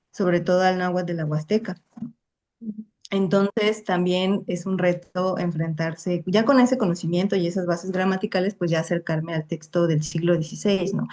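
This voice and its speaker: Young woman, low-pitched, careful academic speaker on a video call. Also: mellow. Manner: careful, restarts often, tags statements with a questioning "no". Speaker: invited lecturer. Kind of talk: academic talk and Q&A answer. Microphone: online video call.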